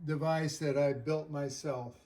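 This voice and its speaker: An older man chatting casually in an interview as a hobbyist, with a halting, hesitant delivery. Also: on the high side, steady tone, darkish tone. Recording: roomy echo, faint music.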